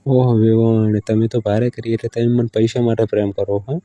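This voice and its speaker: A man's voice: fairly low pitch, flat delivery, brisk, warm tone, dry recording, soft background music, lightly acted. A man with a Gujarati accent playing a hard-up village lover who stalls, placates and bargains in a casual phone conversation. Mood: exasperated, placating.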